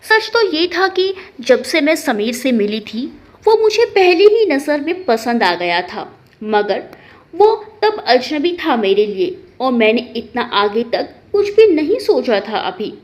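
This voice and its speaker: A woman narrating an emotional first-person story in an expressive, dramatic way. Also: high voice, takes it slowly.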